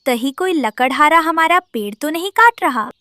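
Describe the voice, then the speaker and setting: A woman's voice: sits high, lively intonation, moderate pace, bright, forceful tone, no echo, clean studio sound. A woman voicing a scheming, sneering female crow in a cartoon story. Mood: alarmed.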